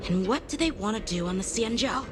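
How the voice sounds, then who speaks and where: Lady doing an earnest young heroine in a game, animated and kinda high, music playing behind. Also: fairly quick, lightly acted. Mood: puzzled. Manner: earnest, urging. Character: alert, resourceful young woman leading a stealthy pursuit. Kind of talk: video game character acting.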